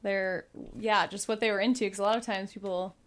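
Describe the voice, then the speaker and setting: A woman hosting a casual interview show, chatty and quick to laugh, with hesitant questions. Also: mid-range voice, steady tone, a bit bright.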